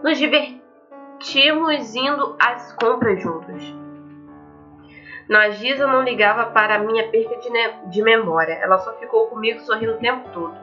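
Young brazilian gamer girl, highish voice, casual commentary and reading game text, slightly lo-fi. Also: normal speed. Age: young adult. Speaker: gaming video host. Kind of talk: visual novel let's play, casual commentary and reading aloud. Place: indoors at home, facing a webcam. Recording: muffled lo-fi mic, game music behind.

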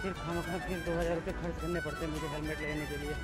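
A man's voice: on the high side, flat delivery, very fast. A young man, chatting casually and informally to viewers as a travel vlogger. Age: 20s. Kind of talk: casual vlog chat to camera. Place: outdoors on busy streets and riverside. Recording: outdoor phone vlog with loud background music.

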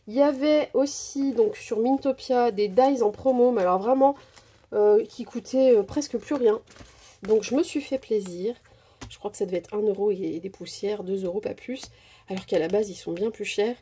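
Chatty woman with a husky, mellow voice. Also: mid-range voice, moderate pace. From Portuguese descent. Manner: chatty, hesitant, many fillers. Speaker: craft vlogger. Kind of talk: casual product haul narration. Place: indoors at a craft table. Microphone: camera over table, hands only.

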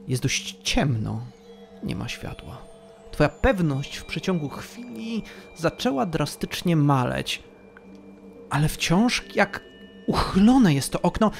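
A man narrating a tabletop role-playing game as game master, telling the scene slowly and atmospherically. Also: on the high side, creaky, slight background noise.

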